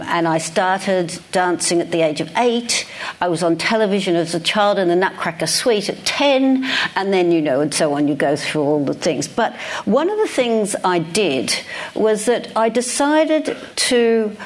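A woman's voice: fairly low pitch, lively intonation, a little slow. Australian woman reminiscing on stage. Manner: chatty, self-deprecating, with frequent restarts. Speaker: guest speaker. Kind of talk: reflective conversation on stage. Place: lecture hall with live audience.

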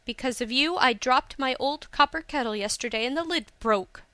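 A woman's voice: high-pitched, moderate pace, no echo. A woman voicing a feisty, scolding young tea-house woman, indignant and emphatic, in a storybook reading. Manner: indignant, emphatic, sharp-tongued.

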